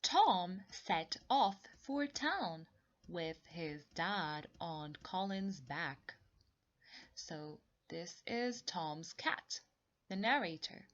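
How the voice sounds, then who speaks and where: A lower-voiced woman guides young children through a read-along story lesson. She explains deliberately and repeats key words, with highly animated pitch movement. The recording is a little dull and lo-fi. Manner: slow, deliberate explaining with repetition. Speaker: teacher reading aloud.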